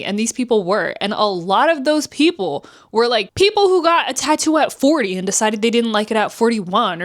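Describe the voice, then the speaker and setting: Chatty young woman vlogging. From New Jersey. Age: 20s. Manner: chatty, candid, acts out quotes with pitch swings. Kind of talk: casual vlog storytelling.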